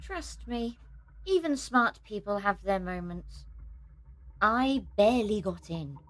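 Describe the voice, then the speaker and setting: A woman's voice: medium pitch, animated, takes it slowly, poor audio. A young woman playing a defensive, self-deprecating botany student in an audio drama.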